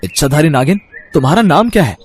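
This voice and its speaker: A man's voice: fairly high pitch, expressive, fast talker, a little mellow, a little hiss or hum, close to an everyday voice. A man playing a wicked, lovesick, scheming snake charmer in a cartoon, with a melodramatic manner. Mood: eager. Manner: melodramatic, pleading and gloating.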